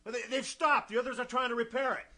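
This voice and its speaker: Man playing a nervous, very high-voiced artillery spotter. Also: steady tone, quick pace, pushed and bright. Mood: anxious, alarmed. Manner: stammering. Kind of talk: amateur radio play reading. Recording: distant, very noisy background.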